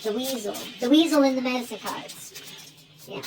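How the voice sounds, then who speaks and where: A woman's voice: high voice, moderate pace, heavy background noise. An American woman chatting in a rambling, informal one-sided phone call for an online video, drifting between asides. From United States.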